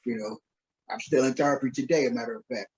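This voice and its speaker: A man's voice: mid-range voice, fast talker, darkish tone, slightly rough. A man answering casually as a guest in a remote interview, speaking as a minister, author and coach. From Queens, New York, USA. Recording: slightly dull, lo-fi call audio.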